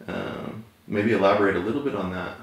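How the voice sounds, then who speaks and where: A male interviewer asking long, halting questions with many restarts. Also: low voice, vocal fry. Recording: very echoey room, off-mic.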